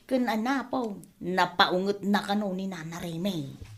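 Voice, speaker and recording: A woman's voice: medium pitch, a little slow. A woman acting a gossipy village neighbour in an Ilocano comedy radio drama, with a Filipino Ilocano accent. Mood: gossiping.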